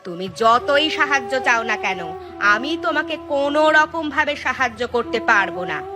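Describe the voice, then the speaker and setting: A woman voicing a sharp-tongued village wife who nags and scorns in a cartoon. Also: high-pitched, animated, normal speed, over music. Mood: angry scolding. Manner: nagging, scornful. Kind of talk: Bengali cartoon dialogue.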